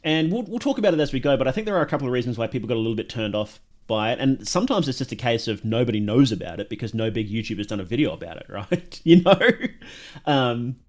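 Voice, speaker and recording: A male livestreamer chats his way through a reaction stream from home in a voice of middling pitch. He rambles and restarts his thoughts, and he pokes fun at himself. He laughs easily at his own jokes. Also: lively intonation, fast. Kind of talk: casual live-stream commentary.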